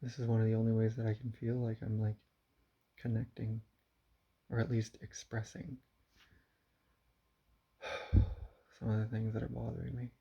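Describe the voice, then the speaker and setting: A young man talks softly to his camera at home, his voice gravelly and a little husky. His delivery is halting and subdued, broken by sighs. The dull, lo-fi camera audio keeps it flat and close. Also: fairly low pitch. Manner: quiet, subdued, halting and sighing. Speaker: vlogger. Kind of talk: confessional vlog to camera. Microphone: camera mic.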